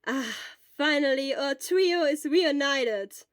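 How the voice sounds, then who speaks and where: A woman voicing a loud, good-hearted, teasing teenage schoolboy, brash and exclamatory, in an amateur audio story. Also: high-pitched, slow pace, dry recording, clean studio sound, pitched above the performer's own voice. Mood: friendly. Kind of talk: amateur audio fan-fiction acting.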